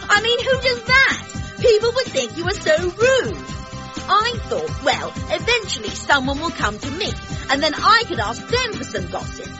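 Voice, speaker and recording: Awkward, self-pitying woman, played by a comic actress, high lively voice, confessional monologue, slightly dull sound.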